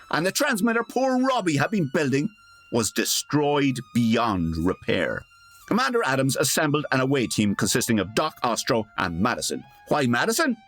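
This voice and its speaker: A man's voice: mid-range voice, a bit bright. A man narrating in a theatrical comedic radio style, with an arch, dramatic delivery.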